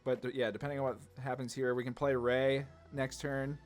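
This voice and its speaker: A man's voice: mid-range voice, flat delivery, a little fast. A man giving casual gameplay commentary, thinking aloud in a laid-back, slangy way. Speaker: card game video host. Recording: music under the voice. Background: music.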